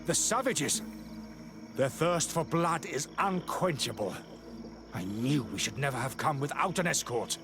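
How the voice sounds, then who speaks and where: An actor playing a scheming, self-righteous priest in a video game, speaking in an impassioned, haughty and declamatory way. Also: male voice, a little high, animated, measured pace, over music. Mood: scornful indignation. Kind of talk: dramatic game dialogue.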